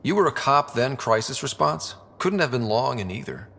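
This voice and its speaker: An actor playing a young human expedition leader in a video game, curious and dryly teasing, with a husky, conversational voice. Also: male voice, medium pitch, lively intonation, moderate pace, a bit bright. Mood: inquisitive. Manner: conversational, inquisitive, dry. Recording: long reverb.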